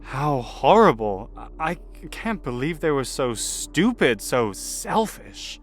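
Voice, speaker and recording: A man's voice: medium pitch, very animated, slow pace, faint music behind. A man playing an earnest, conscientious alien property buyer in an audio play, with a hesitant, deliberating delivery. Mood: shocked, appalled.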